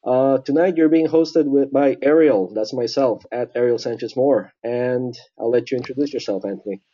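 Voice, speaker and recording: A man hosting an online tech show, introducing the guest in a friendly, halting way with many fillers. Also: mid-range voice, dark tone, lo-fi sound. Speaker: host.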